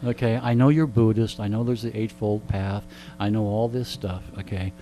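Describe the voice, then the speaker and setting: An older man tells animated anecdotes in a casual interview, repeating phrases and often checking in with a short "okay?". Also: on the low side, moderate pace, soft background music, rough recording. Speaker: radio veteran being interviewed. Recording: clear background noise with hum.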